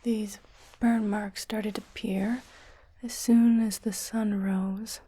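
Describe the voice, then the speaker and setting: Casting: woman to play a reassuring female starship medic for ASMR roleplay, whispered and breathy, clinical yet soothing, very unhurried delivery. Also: mid-range voice, level tone, mellow. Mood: calm, clinical. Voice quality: breathy, mostly unvoiced whisper. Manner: slow, measured, clinical and soothing. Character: calm, reassuring female ship's doctor in science fiction.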